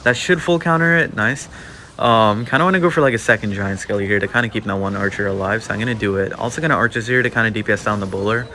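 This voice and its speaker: A man's voice: lowish voice, fast talker, a little hiss or hum. A young man giving casual play-by-play commentary over his own mobile game match, with lots of filler words. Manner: relaxed, filler-heavy play-by-play with many "like" and "um". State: slightly losing his voice. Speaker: gaming youtuber. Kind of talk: casual live gameplay commentary.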